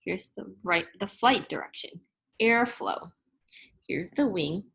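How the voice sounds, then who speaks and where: A woman reading a children's science picture book aloud like a teacher, in a deliberate, instructive way that makes each term clear. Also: medium pitch, lively intonation, fairly bright tone. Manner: deliberate, instructive, spelling out and explaining terms.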